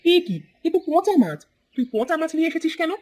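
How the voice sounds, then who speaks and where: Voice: very high-pitched, sing-song, brisk, darkish tone. A performer playing an old mother in a cartoon, nagging her grown son in an insistent, coaxing, complaining way. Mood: dismayed, resigned. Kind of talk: cartoon voice acting. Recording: music bed behind.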